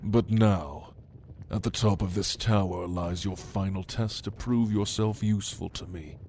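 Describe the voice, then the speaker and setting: Male actor as imperious demon mentor, low and unhurried, cold authority, fantasy audio roleplay, noisy ambience behind. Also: darkish tone. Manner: slow, measured, imperious. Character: commanding, cold, calculating male demon lord mentoring a newborn. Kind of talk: ASMR fantasy roleplay acting.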